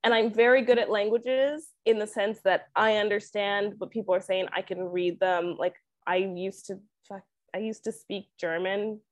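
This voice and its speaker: A woman's voice: mid-range voice, fairly even intonation, normal speed, few pauses, no echo. A young woman from Canada with a Canadian accent, talking casually as an interview guest, saying "like" constantly and laughing often. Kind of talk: casual chat.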